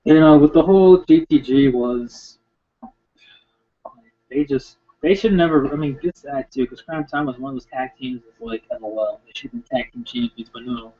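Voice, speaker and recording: A man ranting casually and sarcastically about wrestling, rambling and slipping into quick impressions. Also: medium pitch. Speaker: wrestling commentator. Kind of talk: casual rant. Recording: tinny phone-like lo-fi sound.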